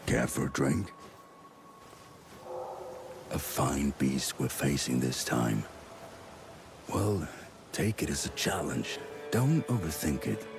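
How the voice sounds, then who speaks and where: Gravelly, very deep easygoing warrior. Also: male voice, expressive, slow, pauses often, music in the background, heavily acted. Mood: relaxed. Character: gruff, easygoing male warrior, unruffled. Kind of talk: scripted video game dialogue.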